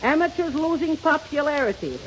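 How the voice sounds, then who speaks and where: An actress playing a cheeky, dizzy newspaper office assistant who wisecracks in a 1930s radio comedy. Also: female voice, high voice, animated, brisk. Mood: sassy, deadpan punchlines. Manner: sassy, wisecracking. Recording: noisy old 1930s radio recording.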